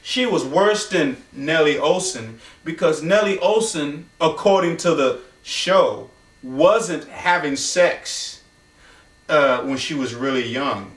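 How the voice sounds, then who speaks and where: Slow, high-pitched man storytelling. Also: animated, fairly bright tone. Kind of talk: improvised spoken storytelling. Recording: roomy echo, distant from microphone.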